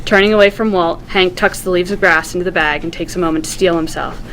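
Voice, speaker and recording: A woman's voice: fairly low pitch, fairly even intonation, fast, fairly bright tone, slight background noise, low-quality recording. A woman reading screenplay stage directions aloud as scripted narration, in a vivid storytelling manner.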